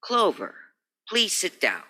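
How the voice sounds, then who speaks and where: Man playing a stiff, very bright-voiced attorney. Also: high voice, huge pitch swings, slow pace, husky, full character voice. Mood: curt, formal. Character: stiff, proper male attorney, guarded and formal. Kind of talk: old-time radio crime drama acting.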